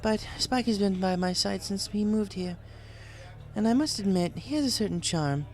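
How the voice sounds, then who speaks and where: Man as female storybook pony, raised pitch, refined, poised, polite, gently teasing. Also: moderate pace. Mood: warm, fond. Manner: ladylike, formal, polite.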